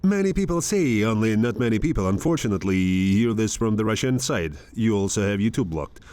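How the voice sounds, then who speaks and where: A man's voice: fairly low pitch, animated, fairly quick, a little mellow. A man hosting an interview, pressing his guest with probing, challenging questions. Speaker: interviewer, host.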